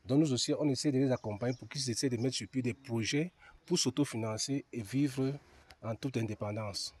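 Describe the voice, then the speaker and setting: A man's voice: medium pitch, brisk, darkish tone, husky. A man explaining his organisation's work in an interview. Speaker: organisation representative.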